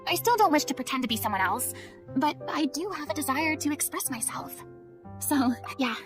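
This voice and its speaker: An actress voicing a proud but easily flustered young former stage star in a video game, speaking in a theatrical, expressive way. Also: female voice, high voice, moderate pace, over music. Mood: resigned, sincere. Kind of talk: scripted video game voice acting.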